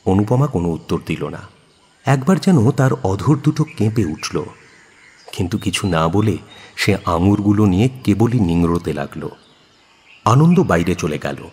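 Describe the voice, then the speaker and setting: A man's voice: low-pitched, expressive, moderate pace, a little mellow, slightly rough. A man narrating a dramatic audio story in a literary, theatrical manner. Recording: long reverb, music behind. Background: music.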